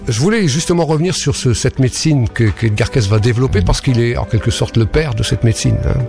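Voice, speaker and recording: A radio guest with a gravelly voice tells a long story, stopping often to search for words. He repeats himself and restarts his sentences. Faint music plays behind him. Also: mid-range voice, fast. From France. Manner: hesitant, many fillers and restarts. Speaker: guest speaker on spiritualism. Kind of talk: radio talk explaining history.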